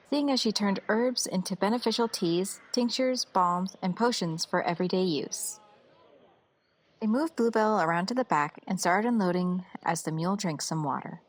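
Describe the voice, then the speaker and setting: A woman's voice: on the low side, slight background noise. A woman narrating a scripted fantasy story as the storyteller of an audio drama.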